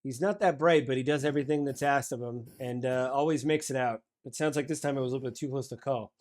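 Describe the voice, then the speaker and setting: A man as a calm, wry commanding officer. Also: natural voice. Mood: measured. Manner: measured, low-key, dry advice.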